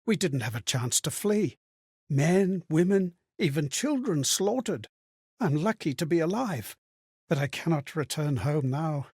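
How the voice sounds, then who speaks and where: A man's voice: a little high, animated, measured pace, a little mellow, husky, no echo, clean studio sound, full character voice. A man voicing a courteous, bewildered spirit for a video game, theatrical and contemplative as he recounts old memories. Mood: fearful, desolate. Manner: theatrical, refined, contemplative, wordy. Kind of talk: dramatic video game voice acting, storytelling.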